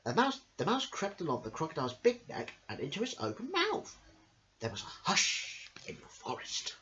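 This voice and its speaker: A man reading a children's story as an amateur host, in an expressive, sing-song way. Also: high voice, moderate pace, a little hiss or hum. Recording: dull lo-fi home recording.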